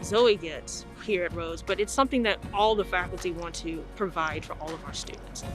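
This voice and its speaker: A woman professor speaking in a measured, reflective way in a documentary interview. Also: lowish voice. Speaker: professor and mentor. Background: music.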